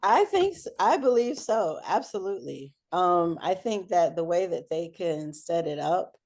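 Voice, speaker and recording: Low, unhurried, mellow-voiced woman. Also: sing-song. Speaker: interview guest, air traffic control supervisor and businesswoman. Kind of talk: conversational interview answers.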